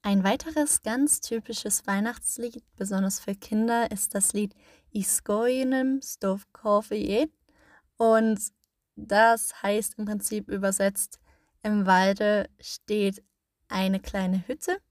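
A podcast host woman talking slowly in a dry, close recording, her voice sitting at an ordinary middle pitch. She explains things patiently and casually, rephrasing herself often with little fillers. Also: steady tone, a little mellow, no room sound.

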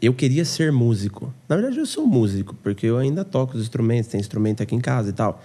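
A man hosting a podcast from home, chatting casually in an animated, slangy way and often talking about himself. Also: medium pitch, fast talker, breathy edge. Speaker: podcast host. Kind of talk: casual interview chat.

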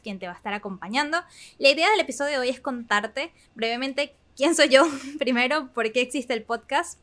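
A Venezuelan woman in her twenties, hosting a podcast and telling her own story in a candid, chatty way with fillers and soft laughs. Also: fairly high pitch. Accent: Venezuelan Spanish. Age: 20s. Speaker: podcast host. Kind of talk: casual personal monologue.

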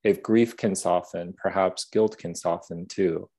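Man, soothing voice, gentle thoughtful talk, lowish pitch, warm tone. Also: fairly even intonation, unhurried. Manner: gentle, soft-spoken, thoughtful. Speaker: app creator, podcast guest. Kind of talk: reflective interview conversation.

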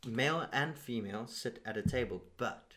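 A man hosting a podcast, chatting informally and musing out loud, with some swearing. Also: mid-range voice, moderate pace. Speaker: podcast host. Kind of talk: casual podcast conversation.

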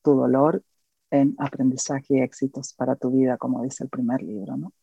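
Earnest Argentine woman, slow and reflective. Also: extremely low pitch, fairly even intonation, gravelly, warm tone, breathy edge, no echo, muffled recording. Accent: Argentine Spanish. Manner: earnest, reflective, with many pauses and fillers. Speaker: guest, educator and author. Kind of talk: interview.

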